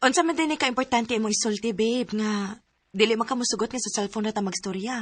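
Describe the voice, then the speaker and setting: A woman's voice: on the high side, fast, natural voice. An actress playing a hesitant, heartbroken young woman in a radio drama, with a Cebuano Filipino accent. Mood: hurt, pleading. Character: heartbroken young woman telling her love story, pleading, hesitant.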